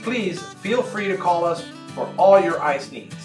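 Low-voiced woman reading an ad. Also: expressive, measured pace, darkish tone. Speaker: ad voice-over. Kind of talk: read commercial. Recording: roomy with music bed.

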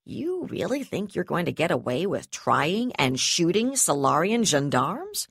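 A seething female brigadier , as a woman reads her, barks and sneers in a harsh, hard-edged voice. She swears freely and pushes her voice up loud and high with rage. The audiobook recording is dry and close. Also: very animated, unhurried, vocal fry, slightly rough, dry recording, full character voice. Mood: furious. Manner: shouting, snarling, swearing.

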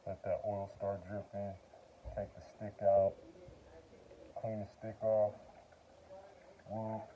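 Outdoor phone audio, faint noise, middle-aged man, lowish voice, casual how-to vlog, halting delivery, folksy slang. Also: monotone, dull audio. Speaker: vlogger demonstrating generator maintenance. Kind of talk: casual how-to chat while working. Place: outside by a wooden porch. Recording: noisy outdoor phone recording.

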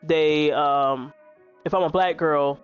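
A woman in her twenties with a deep voice, chatting candidly to her viewers while she plays a video game. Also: steady tone, takes it slowly, clear, resonant tone, faint music behind. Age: 20s. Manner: frank, chatty, talks straight to viewers. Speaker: gaming YouTuber.

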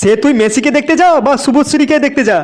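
Man voicing a very high-pitched, irritable cartoon dad. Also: fast, a little mellow. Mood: angry, mocking. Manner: cursing, scolding, exasperated. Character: foul-mouthed, irritable cartoon father, grumbling at son and wife. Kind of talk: comic cartoon dubbing.

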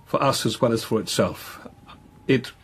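An actor playing a troubled, earnest priest in a radio drama, hesitant and full of questions. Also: male voice, medium pitch, moderate pace, gravelly, husky. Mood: troubled, questioning.

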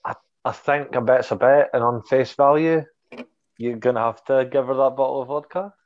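A young man co-hosting a casual podcast over a video call, steady and moderating, keeping the show moving. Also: mid-range voice, normal speed, highs cut off. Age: young adult. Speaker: podcast co-host. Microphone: laptop webcam on video call.

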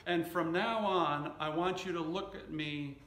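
A middle-aged man preaching a sermon as a pastor, speaking in measured, deliberate short phrases. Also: on the high side, fairly bright tone, roomy sound. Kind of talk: sermon with scripture reading and anecdotes. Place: indoor church stage at a wooden lectern.

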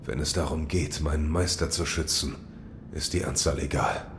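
A grim shinobi speaks in an extremely low, gravelly voice. The loyal warrior is terse and solemn, as in an audio drama, over clear rumbling noise. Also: steady tone, moderate pace. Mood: solemn, dutiful.

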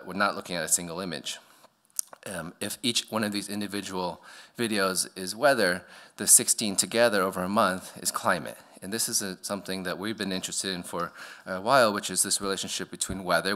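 Deep-voiced man lecturing from a podium. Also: pushed and bright. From born in Vietnam, raised in the US. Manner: hesitant, many fillers and restarts. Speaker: architect giving a talk. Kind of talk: lecture with slides. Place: dark auditorium stage at a podium.

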